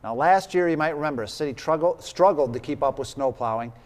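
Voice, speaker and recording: A man's voice: fairly high pitch, fairly quick. American male news anchor, reverberant. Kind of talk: broadcast news presenting.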